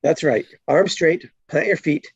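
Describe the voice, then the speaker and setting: A woman playing an easygoing, playfully boastful male cop in a comedy script read, teasing and laid-back with a husky, gravelly voice. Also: low voice, a little slow, no echo, highs cut off, exaggerated voice. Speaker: actor in an online script table read. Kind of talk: live comedy screenplay table read.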